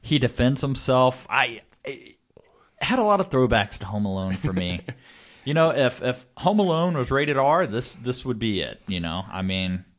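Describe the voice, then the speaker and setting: A man's voice: medium pitch, big pitch swings, moderate pace. A man co-hosting a horror film podcast, chatting informally in a meandering way with lots of "you know". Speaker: podcast co-host reviewing horror films. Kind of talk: casual chat, film commentary.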